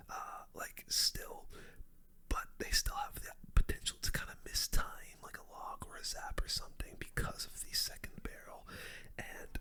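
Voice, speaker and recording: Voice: extremely high pitch, pushed and bright. A person whispering casual, slangy game commentary as the host of an ASMR gaming video. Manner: whispering throughout.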